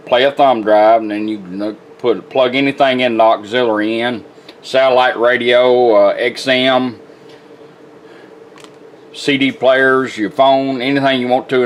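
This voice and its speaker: A man's voice: medium pitch, a little hiss or hum. A plainspoken, folksy man giving a casual product demonstration as a radio restorer. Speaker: radio restorer presenting his work.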